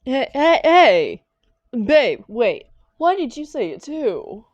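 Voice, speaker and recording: A woman playing a sardonic, hot-tempered supervillain with a soft spot for her partner in a comic roleplay audio drama. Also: high-pitched, big pitch swings, slow, no echo, dull audio, exaggerated voice. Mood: defiant, heated. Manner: sarcastic, dramatic, affectionate with partner.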